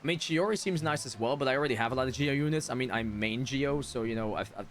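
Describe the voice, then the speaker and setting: A man chatting casually and a little haltingly on a gaming livestream. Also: mid-range voice, a little hiss or hum. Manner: informal, halting, chatting with viewers. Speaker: game streamer. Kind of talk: casual livestream chat over gameplay.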